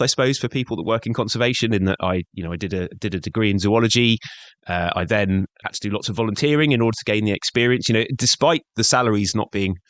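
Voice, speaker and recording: A man's voice: mid-range voice, fairly bright tone, dry recording. A middle-aged man, a conservationist, answering interview questions earnestly, often saying "you know". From born in Scotland, grew up in Devon. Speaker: conservationist, guest.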